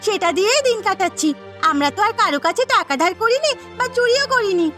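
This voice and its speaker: A woman's voice: extremely high pitch, lively intonation, fast talker, fairly bright tone, faint music behind. A woman voicing an eager, outspoken young village girl with a thin, childlike voice in a cartoon story. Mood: defiant, hurt. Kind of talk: Bengali cartoon story voice acting.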